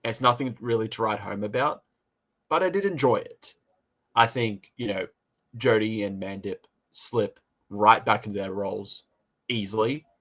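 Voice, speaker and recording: Male podcast co-host, lower-pitched, casual and rambling, reviewing conversationally with repeated phrases. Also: normal speed, a bit bright.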